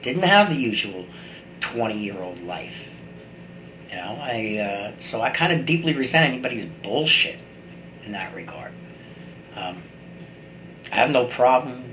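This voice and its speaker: A man's voice: medium pitch, bright, forceful tone. A middle-aged man giving a rambling, blunt and opinionated personal monologue, like a video blogger talking to his camera. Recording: echoey room with faint background noise.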